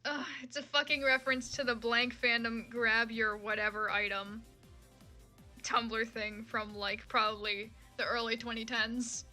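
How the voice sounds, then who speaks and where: Female streamer, bright and highish, informal comic read-aloud, self-deprecating and sweary, faint music. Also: little pitch movement, unhurried. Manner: sweary, self-mocking, giggly asides. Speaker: livestreamer reading fan fiction. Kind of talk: casual livestream chat and comic read-aloud.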